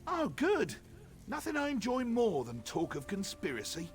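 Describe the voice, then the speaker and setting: An actor playing a smug, theatrical high elf king in a fantasy video game, arch, droll and regal. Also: wildly swooping pitch, moderate pace. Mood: smug amusement. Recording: game music behind the voice. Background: game music.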